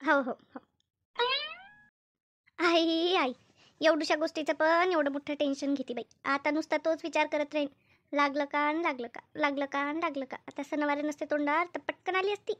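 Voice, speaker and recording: Woman voicing a shrill, grumbling cartoon village housewife. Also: steady tone, normal speed, fairly bright tone. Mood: musing. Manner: colloquial, repetitive, grumbling. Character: Shanta, complaining rural Marathi housewife and mother. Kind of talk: comedy cartoon dubbing, everyday domestic chat.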